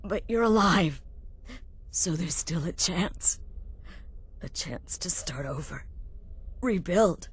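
An actress playing a weak, repentant woman who leads assassins in a video game, speaking haltingly with a husky, pained voice. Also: lowish voice, lively intonation, slight background noise. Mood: regretful, weak. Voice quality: husky, breathy, broken. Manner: halting, strained, confessional. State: weak, gasping, in pain. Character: dying repentant female leader of an assassin family. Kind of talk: dramatic video game acting.

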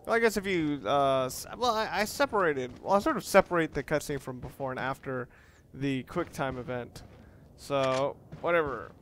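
A man's voice: mid-range voice, normal speed, slight background noise. A man giving casual, rambling let's play game commentary, often correcting himself as he talks. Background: loud video game music and sound.

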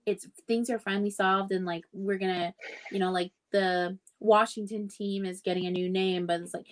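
A young woman talks informally about a book on a video call. Her voice has an average pitch, and she feels her way through thoughts, often restating a phrase. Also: steady tone, moderate pace. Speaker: book club livestream co-host. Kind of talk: casual book discussion chat. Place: indoor room at home, on a video call.

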